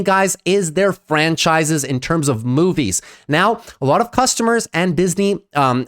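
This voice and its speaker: A man with a fairly high voice runs through the day's stock market recap. He has a cold and sounds a little congested. His delivery is upbeat and brisk, and he keeps calling his viewers "guys.". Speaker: stock market video host. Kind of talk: scripted market recap commentary.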